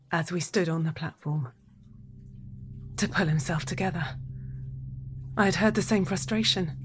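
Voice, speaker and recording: An actress playing a haunted, unhinged wife in a dramatic audio drama monologue, deliberate and intense, repeating phrases. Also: female voice, medium pitch, natural voice. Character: haunted, unhinged wife narrating a violent confession.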